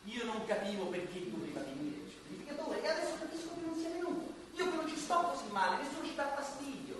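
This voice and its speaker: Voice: sits high, sing-song, fast talker. An actor playing a contented, naive character in an Italian comic drama, recorded from afar in a noisy, echoey space. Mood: contented, musing. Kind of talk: stage-like radio drama acting, Italian comedy. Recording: very echoey, distant, noisy live performance.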